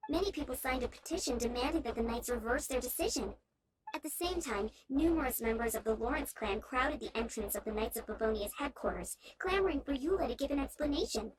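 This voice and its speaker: A woman voicing a gentle, earnest young townswoman with polite, measured speech in a video game. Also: sits high, expressive, crowd noise, rough recording. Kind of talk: scripted video game character acting.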